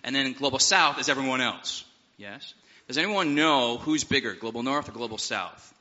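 A man who is a pastor, hosting a live seminar panel in a relaxed, joking, conversational way. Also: mid-range voice, normal speed. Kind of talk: opening prayer then panel introductions. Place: seminar hall with live audience. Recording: dull sound with long hall reverb.

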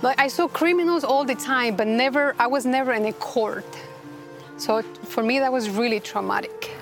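A woman from Rica, a guest telling her story in a halting, earnest way with many restarts. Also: a little high, faint music behind. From Costa Rica. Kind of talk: personal testimony interview.

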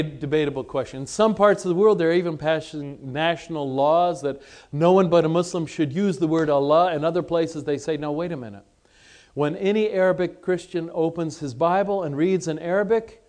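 A man's voice: a little high, a little mellow. Man giving an informal religious seminar. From Lebanon, born in Egypt to missionary parents. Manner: conversational, self-deprecating, addresses listeners with questions. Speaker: seminar presenter and teacher. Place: conference seminar room with audience.

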